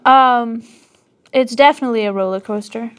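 A woman from Armenia, a guest singer talking casually in a radio studio, hesitant with many pauses and ums. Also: a little high, smooth. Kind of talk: casual interview.

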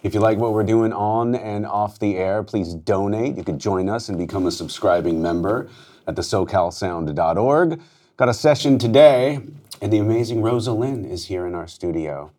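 A man with a very low voice hosts a casual radio interview from a studio. He asks easygoing questions and leans on filler words. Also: normal speed, mellow. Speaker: radio host.

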